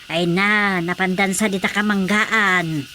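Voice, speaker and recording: A woman's voice: mid-range voice, fairly even intonation, a little slow, bright, forceful tone, slight background noise. A woman playing a chatty middle-aged rural mother in a radio drama comedy, with folksy exclamations and an Ilocano accent. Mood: chatty, pleased.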